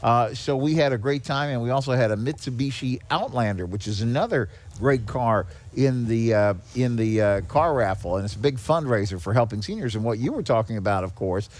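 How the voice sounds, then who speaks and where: A man's voice: mid-range voice, expressive, fairly quick, hardly any pauses, a little mellow. A man speaking as an interview guest in a casual, explanatory way, upbeat and appreciative. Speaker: guest, charity executive director. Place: on location at a garage restaurant. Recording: clear background noise on location.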